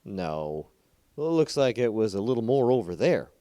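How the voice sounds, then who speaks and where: Guy doing a worried puzzled village man, earnest, pitch jumps around, storybook. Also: big pitch swings, normal speed, mellow, no echo, clean studio sound. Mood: concerned, puzzled. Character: concerned, puzzled village men talking things over.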